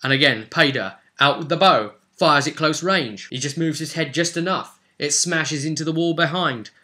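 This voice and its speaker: A man's voice: fairly high pitch, normal speed, bright, forceful tone. A man narrating a tabletop role-play as game master, theatrical and dramatic, in short punchy phrases.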